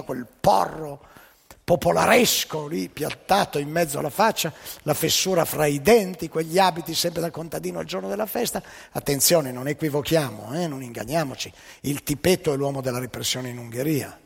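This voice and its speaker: A man with a raspy voice performing an emphatic, ironic theatrical monologue on stage, with asides to the audience. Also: high-pitched. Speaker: stage storyteller. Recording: live hall with long reverb.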